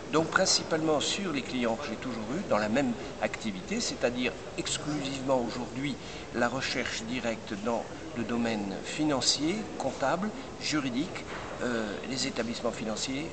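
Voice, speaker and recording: Hesitant older man, loud noisy background. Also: mid-range voice. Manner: measured, many hesitations and restarts. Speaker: recruitment firm head. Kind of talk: interview answers.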